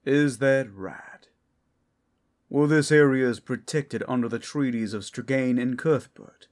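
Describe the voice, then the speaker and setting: A man's voice: medium pitch, unhurried, darkish tone, natural voice. A man playing a flirtatious, protective werewolf guide in an ASMR roleplay, teasing and folksy with a sardonic edge. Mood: firm, cool. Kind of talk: ASMR roleplay audio drama.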